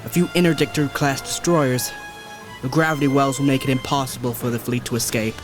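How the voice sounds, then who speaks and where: A man's voice: mid-range voice, fairly even intonation, fairly quick, over music, close to an everyday voice. A man playing a resolute young rebel Jedi in an audio drama, earnest and urgent.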